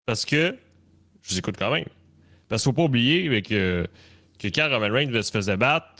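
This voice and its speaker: A man's voice: mid-range voice, expressive, normal speed, a bit bright. A man with a Canadian French accent co-hosting a casual live wrestling talk stream, often repeating words as he builds his point. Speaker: wrestling talk show co-host.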